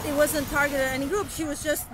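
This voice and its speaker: A woman's voice: sits high, little pitch movement, fast. A woman in her forties, a native Romanian speaker, talking earnestly into a phone held at arm's length as she walks outdoors, rambling with many restarts. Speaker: vlogger. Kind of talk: casual livestream talk. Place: outdoors by a street, walking. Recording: loud street noise.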